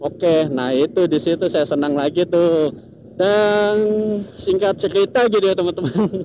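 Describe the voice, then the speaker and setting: High-voiced man vlogging over loud road noise. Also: steady tone, measured pace, dull audio. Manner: chatty, repetitive tag phrases, chuckles often. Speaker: motovlogger host. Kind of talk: casual storytelling vlog to viewers. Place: outdoors, riding a motorcycle in traffic.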